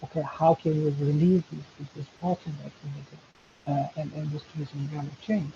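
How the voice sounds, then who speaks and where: Halting professor on a video call. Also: male voice, on the high side, fairly even intonation, creaky, mellow. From Turkey. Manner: halting, many fillers and restarts. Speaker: professor and panelist. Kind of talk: webinar panel talk. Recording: dull lo-fi video call audio with faint hiss.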